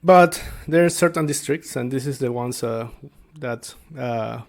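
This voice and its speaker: A man talks informally to viewers as a game master explaining his game. His delivery is slow and hesitant, often searching for the next word. The voice sits at a middling pitch with a noticeable creak. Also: a little mellow. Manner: halting, hesitant, searching for words. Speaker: tabletop game master and video creator.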